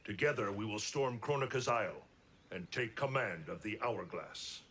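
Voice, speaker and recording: A man voicing a determined warrior ally in a video game, speaking with urgent, quick command. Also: fairly low pitch, noisy background, natural voice. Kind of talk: video game cutscene acting.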